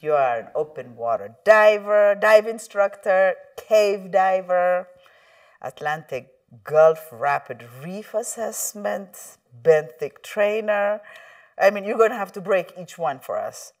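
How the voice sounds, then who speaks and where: A woman's voice: medium pitch, lively intonation, slow pace, far from the mic. A woman hosting an interview show, asking questions and responding with enthusiastic affirmations. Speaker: host.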